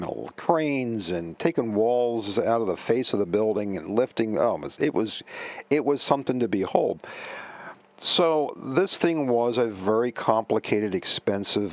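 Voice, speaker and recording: A middle-aged man hosting a vintage computer talk, chatting casually and rambling with fillers and self-corrections. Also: on the low side. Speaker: vintage computer channel host. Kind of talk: casual commentary.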